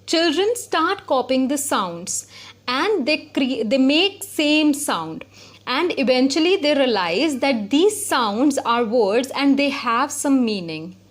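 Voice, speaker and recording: A woman's voice: high voice, expressive, slow pace. A woman giving a spoken lesson, explaining step by step and repeating her points. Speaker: English teacher.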